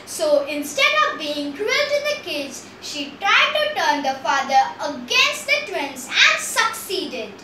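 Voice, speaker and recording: Very high, bright childlike storyteller in echoey room. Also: takes it slowly, few pauses. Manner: theatrical, animated delivery. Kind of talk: expressive fairy tale storytelling. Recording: echoey room, distant mic, faint noise.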